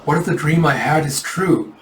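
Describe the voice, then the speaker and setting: A man's voice: fairly high pitch, normal speed, a little hiss or hum, natural voice. Man acting out a melodramatic lovesick young nobleman, echoey. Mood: anxious brooding. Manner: melodramatic, exclaiming. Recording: echoey room, far from mic.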